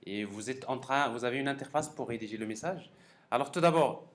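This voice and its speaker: A male professor walks students through a software demonstration. His voice sits at an ordinary pitch, and he speaks haltingly, repeating words as he thinks aloud. The classroom recording has some noise behind him. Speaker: university professor teaching a class. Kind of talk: live technical software lecture with demonstration. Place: classroom during a live course session. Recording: clearly noisy classroom recording.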